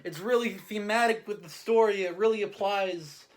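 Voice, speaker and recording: Casting: male host-judge, slightly high voice, casual reviewer, rambles and hesitates, peppers speech with "like". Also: normal speed, rough recording. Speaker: host and judge of a challenge show. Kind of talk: casual chat, reviewing stories.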